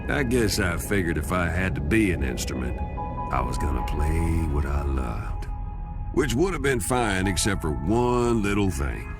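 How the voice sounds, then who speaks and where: Deep, wry fallen angel. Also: male voice, big pitch swings, unhurried, exaggerated voice. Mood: wistful, sardonic. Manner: folksy, wry, colloquial drawl. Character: wry fallen angel turned talking skull, folksy storyteller. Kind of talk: scripted game cutscene narration. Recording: loud music behind.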